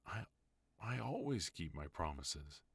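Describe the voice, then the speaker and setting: A man playing a deep, slow mafia boss husband. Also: sing-song, gravelly, breathy edge, no room sound, full character voice. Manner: low, firm, soft-spoken pleading. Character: protective mafia boss husband, stern, tender. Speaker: voice actor. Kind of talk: ASMR roleplay.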